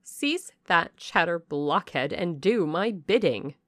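A proud, imperious young lady of rank, loud and commanding, as a woman narrator puts her on, with a gravelly undertone in a dry, close reading. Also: a little high, sing-song, a little slow, no echo, voice pushed up, heavily acted. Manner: commanding, scornful. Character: haughty, imperious young noblewoman.

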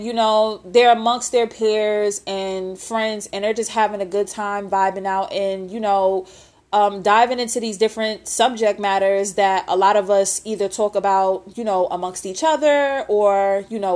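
A woman in her late twenties hosting a casual solo podcast intro, rambling and candid, with lots of filler and mild swearing. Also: medium pitch. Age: 20s. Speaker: podcast host.

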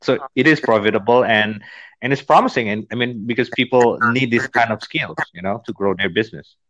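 Indonesian man, accented English, hesitant filler-heavy chat, mid pitch. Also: fairly bright tone, muffled recording. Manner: halting, many fillers and restarts. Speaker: business trainer. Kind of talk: casual conversation.

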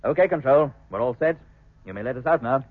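A tinny old radio recording of a man acting as a British spaceship captain in a 1950s science fiction serial. He gives orders quickly and formally, with clipped authority and a slightly husky edge. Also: medium pitch, expressive, natural voice. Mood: brisk command. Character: brisk, commanding male spaceship captain giving orders.

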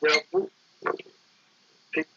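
A man talking casually and reflectively in an interview, halting and digressive, often correcting himself. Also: highs cut off, background noise. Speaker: architect guest being interviewed.

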